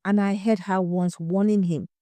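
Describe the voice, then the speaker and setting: A woman playing a timid, hushed household maid in an audio story. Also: mid-range voice, fairly even intonation, moderate pace, no room sound, natural voice. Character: shy young household maid.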